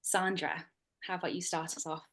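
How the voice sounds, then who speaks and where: A woman hosting and moderating an online panel over a video call, speaking in a soft, measured way with frequent ums. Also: on the low side, level tone.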